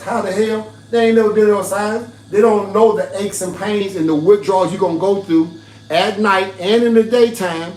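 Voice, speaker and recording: Raspy middle-aged male streamer, emphatic and preachy, roomy audio with a faint hum. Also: high-pitched, darkish tone, far from the mic. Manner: preachy, emphatic, addresses viewers directly. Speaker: fitness livestreamer. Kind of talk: casual livestream pitch to viewers.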